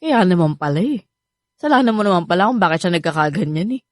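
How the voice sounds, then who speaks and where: A woman's voice: lowish voice, animated, fast talker, dry recording, studio quality, natural voice. An actress playing a frank, exasperated young female friend in a Filipino radio drama, lecturing bluntly with a Filipino accent.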